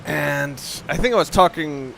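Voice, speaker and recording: A man's voice: fairly high pitch, normal speed, creaky, a bit bright, a little hiss or hum, poor audio. A young man giving casual, rambling commentary over a video game, often breaking off mid-sentence and swearing now and then. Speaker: let's play commentator.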